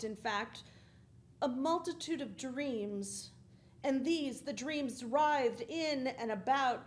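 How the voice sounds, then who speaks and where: A young woman performer giving a theatrical, measured dramatic reading of gothic literature, with deliberate phrasing. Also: fairly high pitch. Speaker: stage performer. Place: theatre stage, standing at a lectern.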